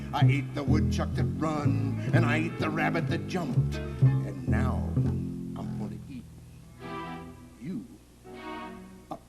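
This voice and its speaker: A man's voice: medium pitch, gravelly, voice pushed down. A man voicing a huge, boastful bully bear in a storybook, drawling with mock-polite menace over orchestral music.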